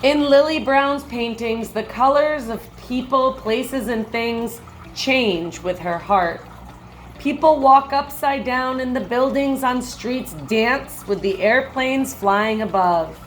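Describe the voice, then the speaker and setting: A woman reading a children's picture book aloud like a teacher, with measured, expressive phrasing and brief comments. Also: on the high side, slight background noise, poor audio.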